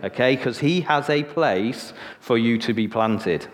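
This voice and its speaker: A man's voice: medium pitch, measured pace, big-room sound. A man in his forties from Sheffield with a northern English accent, preaching a sermon in a chatty storytelling way, often checking in with "okay?". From Sheffield, northern England. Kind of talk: sermon with personal testimony.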